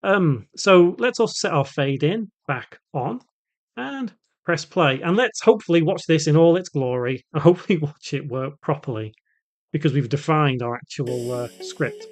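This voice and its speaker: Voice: mid-range voice, animated, moderate pace. A tutorial host talking casually and conversationally, thinking aloud through an unscripted coding walkthrough.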